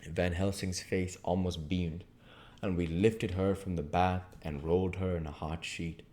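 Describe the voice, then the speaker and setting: A man reading literary narration aloud as an amateur audiobook reader, in a measured, earnest manner. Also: low-pitched, steady tone, mellow.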